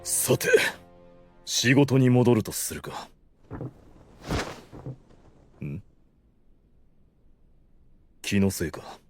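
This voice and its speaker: A man playing a grave, commanding undead ruler with pompous, measured phrasing in an anime audio drama. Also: medium pitch, expressive, a little mellow, faint music behind. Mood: musing.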